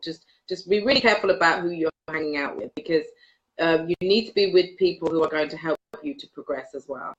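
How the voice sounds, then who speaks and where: A woman's voice: low-pitched, a little fast, muffled recording. A woman giving earnest advice as a guest on a video call, often repeating words. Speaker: guest, career coach. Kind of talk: casual video call interview.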